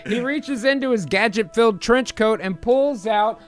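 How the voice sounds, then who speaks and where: A man's voice: very high-pitched, moderate pace. A man hosting an improv show, chatting casually and reading out script as narrator. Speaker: host and narrator. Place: indoor home streaming setup.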